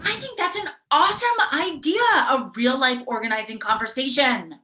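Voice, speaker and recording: A woman's voice: high-pitched, expressive, moderate pace, sharp tone, a little hiss or hum. A woman playing an earnest, upbeat community organizer in a live staged play reading.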